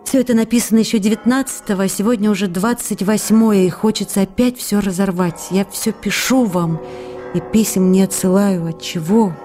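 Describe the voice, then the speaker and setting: A young actress pours out teasing, pleading letters to the writer she loves, as an actress voices her in a radio play. Her tone is mellow and warm, coaxing one moment and reproachful the next, and faint music plays underneath. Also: medium pitch, brisk, lightly acted. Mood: wistful. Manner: playful, coaxing, then reproachful. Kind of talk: radio drama letter reading.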